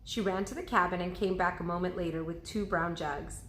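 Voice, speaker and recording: A woman's voice: on the low side, brisk, fairly bright tone, a little hiss or hum. A woman reading a children's storybook aloud in the manner of a teacher. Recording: roomy, echoing indoor sound.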